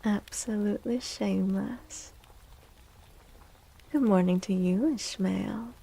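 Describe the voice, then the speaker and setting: A woman livestream host chatting in a soft, gentle ASMR style close to the mic, drifting now and then toward a breathy whisper. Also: mid-range voice, slow. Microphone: close microphone.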